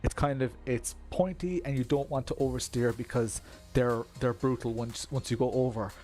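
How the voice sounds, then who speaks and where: A man's voice: medium pitch, moderate pace, warm tone, faint music behind. An Irish man chatting casually while he streams a sim race, laughing often and joking about himself. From Ireland. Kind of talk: casual live-stream chat.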